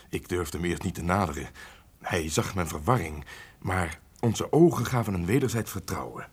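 An actor playing an honest, brave castle servant in a radio drama, earnest and conspiratorial, with a husky voice. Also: male voice, low-pitched, lively intonation, normal speed, heavily acted. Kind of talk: theatrical Dutch radio drama acting.

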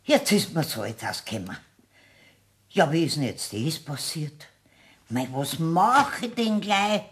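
A chatty, animated Bavarian housekeeper. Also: female voice, low-pitched, a little slow. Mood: flustered. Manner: talkative, colloquial, exclaiming and muttering. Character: chatty, well-meaning, scatterbrained housekeeper woman. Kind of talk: German radio play acting.

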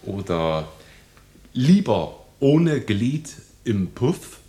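An actor playing an East German cabaret comedian, deadpan and explaining his own punchlines. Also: medium pitch, extremely slow. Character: East German comedian, dry joke-teller. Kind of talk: 1990s radio play acting.

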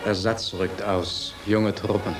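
An actor playing a reserved military subordinate in a radio play, speaking in terse, measured, guarded phrases. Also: male voice, on the low side, little pitch movement. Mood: guarded, formal. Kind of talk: restrained radio drama acting. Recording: echoey radio drama, music behind.

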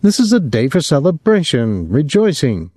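Young son, patient but hurried, short gentle replies, read by a man. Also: on the high side, wildly swooping pitch, breathy edge, no room sound, clean studio sound, voice pushed up, exaggerated voice.

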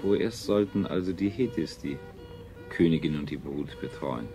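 An actor playing a cold, controlling scientist-ruler in a radio play, speaking in a clinical, formal, measured way. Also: male voice, a bass voice, music in the background. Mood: cold, stern. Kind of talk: 1970s German radio play acting.

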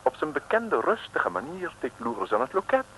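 An actor playing a wry, colloquial storyteller, narrating a 1960s radio comedy. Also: male voice, fairly high pitch, big pitch swings, moderate pace. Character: wry, jaunty male storyteller. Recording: noisy old radio recording.

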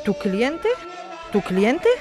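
Casting: actress as defiant female lawyer for evicted neighbours, indignant and forceful, audio drama, music and busy crowd behind. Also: on the high side, sing-song, drawn-out words, full character voice. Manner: indignant, challenging, forceful rhetorical questions. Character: combative activist lawyer daughter defending evicted neighbours.

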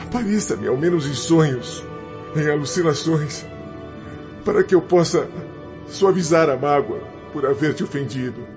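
A Brazilian actor playing a remorseful condemned spirit in a radio drama, pleading in anguish with God. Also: male voice, fairly high pitch, lively intonation, moderate pace, lightly acted. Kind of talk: radio drama acting in Portuguese. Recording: dull lo-fi audio under loud music.

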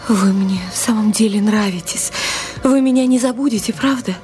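A woman's voice: mid-range voice, normal speed, mellow, soft background music, close to an everyday voice. An actress playing an emotional, romantic young lady in a radio drama, with a breathless, pleading delivery. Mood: tender, tearful. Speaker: actress in an audio play. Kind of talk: Russian radio drama acting.

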